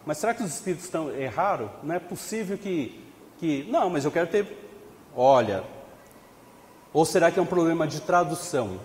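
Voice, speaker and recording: A man's voice: fairly high pitch, darkish tone. A man with a Brazilian Portuguese accent leading a religious study group, explaining in a conversational way with rhetorical questions and frequent tag questions. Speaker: study group leader at a spiritist center. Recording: echoey hall with long reverb.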